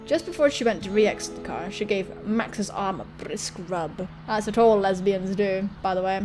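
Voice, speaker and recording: A woman hosting a gaming video, reading a visual novel aloud and adding casual, irreverent, expressive commentary. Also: medium pitch, moderate pace. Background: game music.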